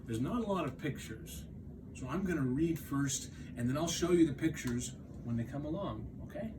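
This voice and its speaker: A man reading aloud to young children as a storytime teacher, expressive, with dramatic pauses and stretched emphasis. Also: fairly high pitch, recorded from a distance, noisy background.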